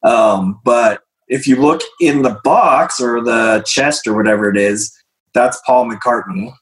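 A man presenting slides in a casual group discussion, losing his voice, clearing his throat and filling gaps with hesitant "um"s. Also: mid-range voice, a little slow, roomy sound, low-quality recording. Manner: hesitant, many fillers.

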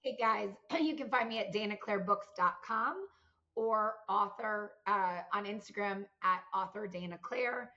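A woman guest author listing where to find her online in a brisk, promotional way. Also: mid-range voice, sharp tone, lo-fi sound. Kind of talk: listing contacts, casual.

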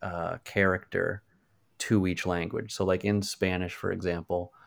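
A man's voice: sits low, flat delivery, measured pace, creaky. A podcast co-host, a man in casual conversation, hedging often with "like". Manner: hedging, lots of "like".

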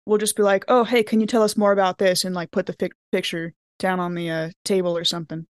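A woman plays a tabletop role-playing game in a casual, informal way. Her medium-pitched voice is recorded dry, and she peppers her sentences with "like" as she talks things through. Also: little pitch movement, fast, no room sound. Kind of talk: casual chat during a game.

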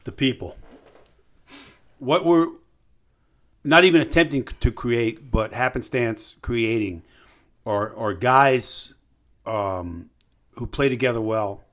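Guy talking really slow, feeling around for the words, mid voice. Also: medium pitch, a bit bright. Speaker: rifle instructor. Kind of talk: casual interview answers.

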